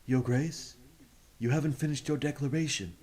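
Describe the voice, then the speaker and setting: A man's voice: medium pitch, moderate pace, a little mellow, natural voice. Man acting an anxious old holy man. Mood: nervous solemn. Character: anxious, solemn old priest officiating at coronation. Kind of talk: audio drama acting.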